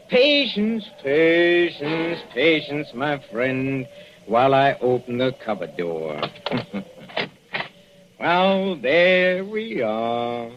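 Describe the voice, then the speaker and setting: A gloating, sadistic professor in a vintage radio thriller, with a Central European accent and a mocking, purring menace. His voice swings up and down in pitch as he drawls slowly. The recording is dull and lo-fi with a faint hiss. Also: sing-song, heavily acted. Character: gleeful sadistic middle-aged male professor murderer. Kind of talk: 1940s radio acting.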